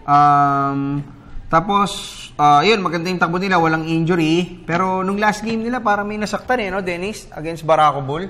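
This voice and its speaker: A man's voice: high voice, a little fast, fairly bright tone, faint music behind. A man co-hosting a sports newscast in a studio, teasing his co-hosts in playful, chatty banter. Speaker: sports show co-host.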